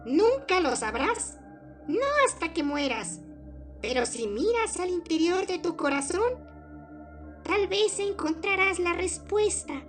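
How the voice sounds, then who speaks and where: A woman voicing a sweetly cruel frog puppet in a horror story, preaching slowly with drawn-out words. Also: extremely high pitch, animated, soft background music, full character voice. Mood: calmly ominous. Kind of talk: horror story fandub character acting.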